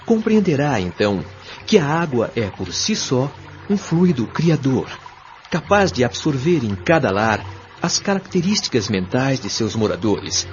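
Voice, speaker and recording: A Brazilian man playing a kindly, patient spirit guide in an audio drama, explaining things in a measured, didactic, carefully enunciated way. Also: medium pitch, very animated. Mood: solemn, instructive. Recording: dull lo-fi audio, music behind.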